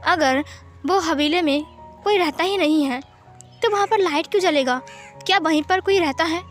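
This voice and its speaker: Female storyteller as haunted young man, high voice, anxious, repetitive, self-questioning, story narration with music. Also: normal speed. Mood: brooding, curious. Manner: stammering repetition, questioning himself aloud.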